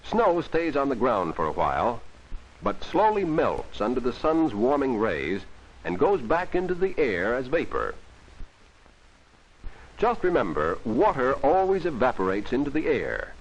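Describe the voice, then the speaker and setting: A man's voice: mid-range voice, wildly swooping pitch, a little slow, husky, poor audio. A man narrating a vintage 1940s educational film for children, explaining things simply and instructively on an old film soundtrack.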